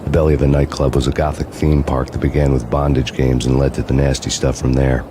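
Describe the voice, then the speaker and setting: An actor playing a weary male detective who narrates a brooding noir voice-over in a deadpan tone. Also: extremely low pitch, a little fast, background noise. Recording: game audio with loud music.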